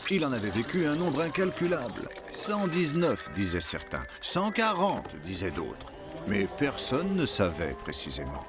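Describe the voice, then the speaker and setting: A man narrating a children's cartoon as an expressive, dramatic storyteller. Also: medium pitch, unhurried, music in the background.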